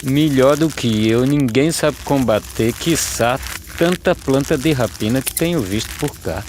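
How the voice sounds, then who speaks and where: An actor with a Brazilian accent playing a weary, humble, earnest migrant farm labourer in an animated verse drama. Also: male voice, mid-range voice, measured pace, slight background noise, natural voice. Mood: earnest, hopeful. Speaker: narrator and lead character of animated verse drama. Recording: music under the voice.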